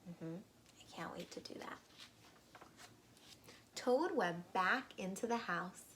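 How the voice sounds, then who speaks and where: A woman reading a storybook aloud to young children, expressive and pausing to ask the listeners questions. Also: mid-range voice, slight background noise.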